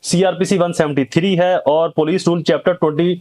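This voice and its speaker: Male lecturer, Pakistani accent, moderately high pitch, methodical instructive delivery with English terms mixed in. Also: fairly even intonation, brisk, a little mellow. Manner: code-switches between Urdu and English terms. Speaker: host of a legal education channel, lecturer. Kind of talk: explanatory legal lecture.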